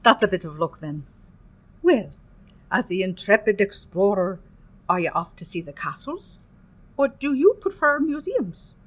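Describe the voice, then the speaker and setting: A woman's voice: medium pitch, sing-song, measured pace. A woman playing a motherly, superstitious Irish innkeeper in a radio play, folksy and emphatic, with an Irish accent. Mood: hospitable, chatty. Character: homely, superstitious Irish woman innkeeper, hospitable but guarded.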